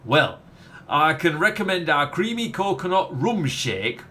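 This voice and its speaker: A man's voice: on the high side, big pitch swings, unhurried, pushed and bright, heavily acted. A man playing an eager, fawning milkshake bar waiter in an audio comedy, hesitant, fussy and gushing. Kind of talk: family comedy audio play acting.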